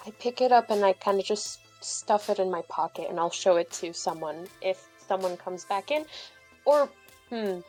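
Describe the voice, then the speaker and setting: A woman's voice: mid-range voice, animated, normal speed, darkish tone, faint music behind. A woman playing a tabletop roleplaying game, improvising casually and thinking aloud in a hesitant, informal way.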